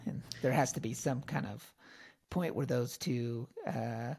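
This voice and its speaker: A man's voice: mid-range voice, measured pace, creaky, darkish tone, breathy edge. A man in his thirties hosting a medical talk, speaking casually and self-deprecatingly with rambling restarts, into a close studio microphone at home. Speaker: physician host. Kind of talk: casual conversational chat. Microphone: large studio mic close up, headphones on.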